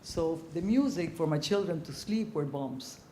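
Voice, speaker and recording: An older woman from Ecuador speaking on a conference panel, telling her story earnestly with frequent pauses and ums. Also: low-pitched, slight background noise. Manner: earnest, reflective, frequent ums, growing animated. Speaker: panelist, former ambassador. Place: conference hall. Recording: echoey conference hall.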